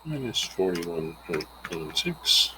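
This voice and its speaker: A man's voice: low-pitched, sing-song, takes it slowly, bright, forceful tone, slight background noise, low-quality recording. A man giving an informal, plainspoken lesson, explaining a worked example step by step and thinking aloud as he calculates. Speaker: instructor.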